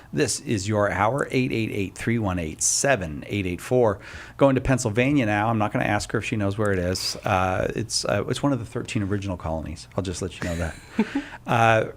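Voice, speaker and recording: A man hosting a call-in radio show, chatty and jokey with frequent filler words. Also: lowish voice. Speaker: radio host.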